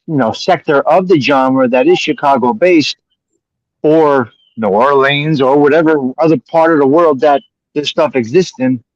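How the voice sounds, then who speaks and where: A man's voice: medium pitch, no room sound. Man hosting with a muffled, dry sound. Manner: rambling, circles back and repeats himself. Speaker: podcast host. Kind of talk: casual conversation.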